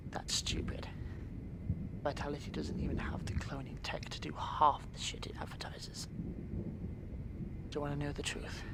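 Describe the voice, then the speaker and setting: An actor playing a nervous, secretive company worker turned whistleblower in a sci-fi audio story, speaking in a furtive, hushed, creaky whisper. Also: medium pitch, rough recording. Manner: slow, furtive, conspiratorial. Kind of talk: scripted sci-fi audio story, one-sided roleplay dialogue.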